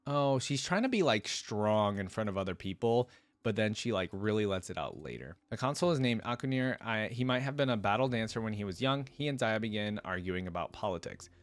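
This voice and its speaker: A man's voice: fairly low pitch, lively intonation, brisk, dry recording. A man hosting a reaction video, reading a story aloud and breaking off for casual, reflective commentary. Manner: casual, stops to explain and reflect.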